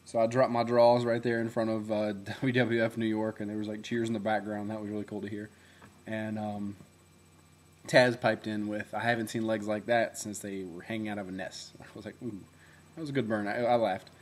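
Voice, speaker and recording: A young man speaks into his camera in a relaxed video diary, his voice low and deep. He sometimes swallows his words and talks in a chatty, rambling way, full of fillers and quick self-corrections. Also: a little hiss or hum. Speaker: former reality show contestant.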